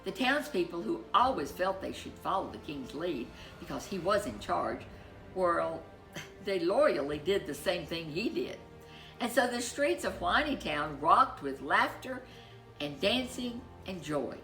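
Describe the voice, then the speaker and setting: A woman storyteller reading a children's tale in a playful, expressive way with drawn-out emphasis. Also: lowish voice, echoey room, soft background music. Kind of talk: animated scripted children's story narration.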